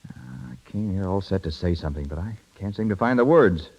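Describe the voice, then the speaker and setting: An actor playing an awkward, lovesick young husband who pleads haltingly, in a muffled 1930s radio drama. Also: male voice, sits low, lively intonation, darkish tone. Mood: nervous. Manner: halting, stammering.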